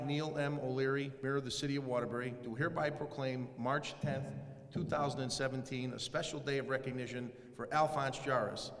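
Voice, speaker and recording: A man at a podium reading a formal proclamation aloud. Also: mid-range voice. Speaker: mayor's representative. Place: large hall. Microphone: podium microphone. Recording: echoey hall with long reverb.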